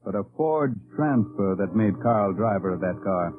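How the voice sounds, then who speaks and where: A man's voice: on the low side, expressive, normal speed, narrow, tinny audio. A man delivering dramatic, scripted narration in the style of 1950s radio drama. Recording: thin old radio broadcast. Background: loud music.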